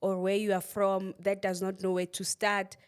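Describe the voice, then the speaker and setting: A woman's voice: medium pitch, completely flat delivery, normal speed, clean tone. A South African woman hosting a podcast interview, asking questions and encouraging her guest with short affirmations. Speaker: podcast host. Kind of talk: casual interview.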